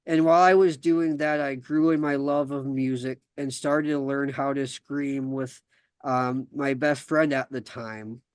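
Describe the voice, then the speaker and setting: A man's voice: mid-range voice, fairly even intonation, unhurried, clear, resonant tone, dry recording. A young man from South Dakota hosting a podcast, talking casually with many fillers and restarts, then reading his own story aloud. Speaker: podcast host.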